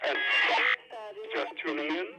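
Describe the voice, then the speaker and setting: A man's voice: a little slow, lo-fi sound. A man hosting a playful experimental radio show, hesitant and searching for his words. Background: loud music.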